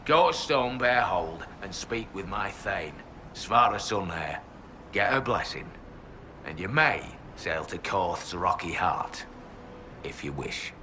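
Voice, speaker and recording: Proud fantasy clansman, low forceful voice, terse archaic phrasing, wary, background noise. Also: lively intonation, slow pace, heavily acted. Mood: wary, blunt. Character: proud, wary male hill-tribe warrior, plain-spoken and superstitious. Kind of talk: fantasy video game acting.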